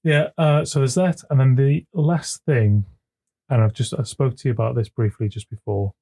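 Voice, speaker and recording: A man co-hosting a podcast in casual chat, with a smooth voice. He chuckles often and repeats words as he gets going, speaking into a desk microphone at his home computer. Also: mid-range voice, fairly even intonation, moderate pace, warm tone. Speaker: podcast co-host.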